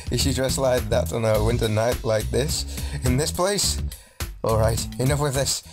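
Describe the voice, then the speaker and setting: Guy narrating a visual novel lets play, normal pitch, kinda dramatic w casual jokey asides, music playing behind. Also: unhurried. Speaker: let's player reading a visual novel aloud.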